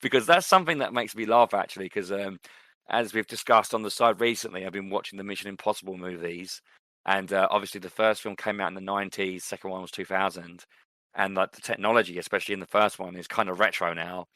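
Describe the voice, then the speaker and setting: A man's voice: deep voice, fast talker, sharp tone, no echo. A man co-hosting a podcast, chatting casually about films and TV. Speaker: podcast co-host.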